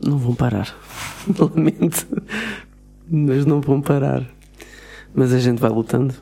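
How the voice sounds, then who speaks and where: A man's voice: medium pitch, measured pace, creaky, dark tone. A man with a European Portuguese accent co-hosting a podcast, cutting in with jokes and wry remarks. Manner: joking, wry interjections. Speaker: podcast co-host. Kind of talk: casual podcast chat.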